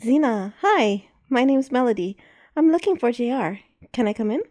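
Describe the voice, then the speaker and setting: A woman voicing a kind, motherly grown woman who speaks in a soothing, gently firm way. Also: fairly high pitch, lively intonation, normal speed, voice pushed up, close to an everyday voice. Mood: friendly.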